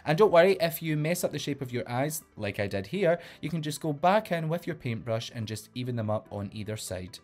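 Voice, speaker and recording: A drag queen with a Glaswegian Scottish accent walks the listener through a makeup routine, his voice sitting somewhat high for a man. He is playful and upbeat while giving clear step-by-step directions. Soft music plays quietly behind him. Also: expressive, brisk, hardly any pauses. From Glasgow, Scotland. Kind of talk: makeup tutorial.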